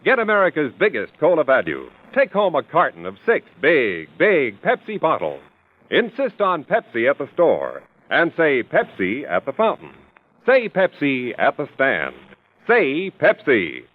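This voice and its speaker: A man's voice: a little high, wildly swooping pitch, a little slow. A man working as an old-time radio announcer, reading ads and scene narration with an emphatic, salesy manner. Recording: narrow old radio broadcast with faint hiss.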